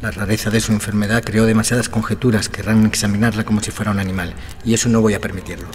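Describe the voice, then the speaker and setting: An actor playing a troubled, morbid, reclusive aristocrat in a gothic audio drama, intense, formal and theatrical. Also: male voice, lowish voice, flat delivery, quick pace, a little hiss or hum, lightly acted. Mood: grim resolve.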